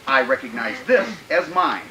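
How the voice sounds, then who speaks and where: A man's voice: medium pitch, lively intonation, normal speed, noisy background, lightly acted. Man acting a grandiose, menacing would-be tyrant, echoey. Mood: smooth, scheming. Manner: grandiose, theatrical declaiming. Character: megalomaniac male dictator's schemer, grandiose and menacing. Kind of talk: old-time radio drama acting.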